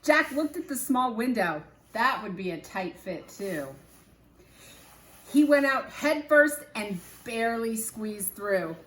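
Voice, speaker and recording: A woman reading a children's book aloud as a teacher, in deliberate, expressive storytelling phrasing with animated character voices. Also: a little high, echoey room, low-quality recording.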